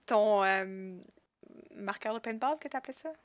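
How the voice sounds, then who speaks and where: A woman hosts a casual chat at a mid pitch, her voice dry and close with no room sound. She is stuffy from a cold and keeps nudging her guest along with quick questions. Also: dry recording. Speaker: podcast host.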